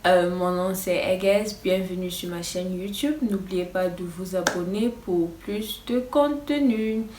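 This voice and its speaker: A woman's voice: fairly low pitch, slow pace, a little mellow, reverberant, recorded from a distance, a little hiss or hum. A woman whose first language is English teaching English in casual French, hesitant, with many fillers and a nervous, stop-start delivery. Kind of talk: casual vlog-style lesson.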